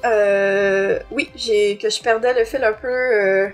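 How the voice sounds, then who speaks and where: A woman's voice: medium pitch, fairly even intonation, slow pace, soft background music. A woman with a Quebec French accent co-hosting a podcast, reviewing a book in a somewhat hesitant, self-correcting way. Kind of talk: book review, plot summary and discussion.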